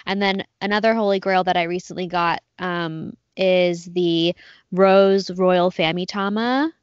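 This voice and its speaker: A woman's voice: fairly low pitch, steady tone, unhurried, smooth, no room sound, highs cut off. A young woman co-hosting a podcast, chatting casually with a bubbly, enthusiastic, slangy manner. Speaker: podcast co-host. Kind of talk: casual chat.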